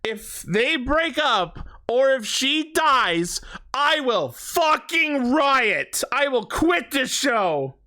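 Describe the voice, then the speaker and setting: A man's voice: extremely high pitch, very animated, very slow, bright, forceful tone. A man co-hosting a podcast, giving a casual fan recap in an opinionated, sweary way. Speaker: podcast host.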